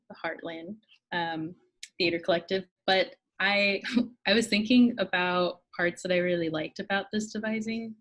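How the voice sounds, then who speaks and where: A woman's voice: mid-range voice, fairly bright tone. A woman who giggles as she talks, chatting casually as a theatre panelist on a video call. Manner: giggly, laughs while talking. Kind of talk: casual reflective chat.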